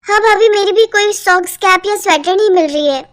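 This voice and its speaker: A woman's voice: very high voice, fairly quick, fairly bright tone, voice pushed up. A woman voicing a lazy, whiny young sister-in-law in a cartoon, protesting and making excuses. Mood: complaining.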